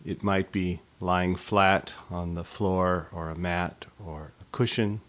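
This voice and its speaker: Low-pitched man guiding a meditation. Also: steady tone. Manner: measured, gently instructive, with pauses between phrases. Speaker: meditation instructor. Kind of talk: guided body scan meditation.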